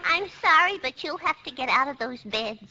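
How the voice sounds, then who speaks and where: A woman's voice: high-pitched, lively intonation, moderate pace. A woman playing an apologetic, fussy, scatterbrained hotel maid in radio comedy. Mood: apologetic, fretful. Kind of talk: 1940s-50s radio comedy acting. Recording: very noisy, muffled vintage radio.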